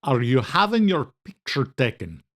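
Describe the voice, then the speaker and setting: A man's voice: mid-range voice, big pitch swings, normal speed, natural voice. A man voicing an audacious, loyal woman housekeeper who speaks in a pointed, disapproving way.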